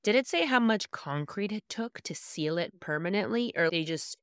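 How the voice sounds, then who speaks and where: A woman's voice: medium pitch, animated, moderate pace, a bit bright, smooth, no room sound. A woman co-hosting a casual podcast chat, laid-back, supportive and wry. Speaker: podcast co-host.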